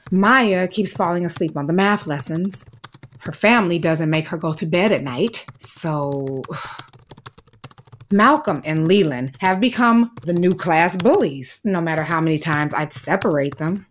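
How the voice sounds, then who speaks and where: An actress playing a dedicated, firm but anxious third-grade teacher in an audio drama, speaking in a measured, articulate, formal way. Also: female voice, fairly low pitch, very animated, slight background noise. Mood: worried.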